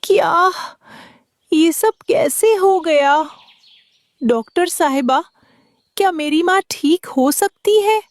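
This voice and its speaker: A woman's voice: very high voice, expressive, no room sound, exaggerated voice. A woman voicing a young boy bird, a dutiful and worried son, earnest and childlike in a children's cartoon. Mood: distressed. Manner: earnest, childlike, deliberate delivery.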